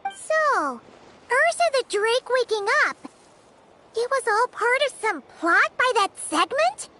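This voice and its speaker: A woman voicing a tiny, curious and blunt fairy companion in a video game, with a squeaky childlike voice. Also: big pitch swings, slow pace, bright, forceful tone, exaggerated voice. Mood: surprised, curious. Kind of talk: video game character acting.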